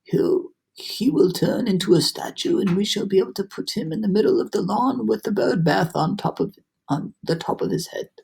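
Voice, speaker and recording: A dour storybook earthworm, as a woman reads him out grumbles in a husky, mellow voice. Every line sounds gloomy and pessimistic. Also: medium pitch, normal speed, pitched above the performer's own voice. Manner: glum, grumbling.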